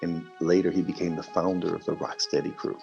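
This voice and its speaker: A man's voice: low voice, level tone, brisk, vocal fry, over loud music. A man from Puerto Rico with a Puerto Rican accent and Spanish as his first language, telling his story as an interview guest in an earnest, meandering way with many restarts. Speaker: guest martial artist. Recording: muffled lo-fi audio.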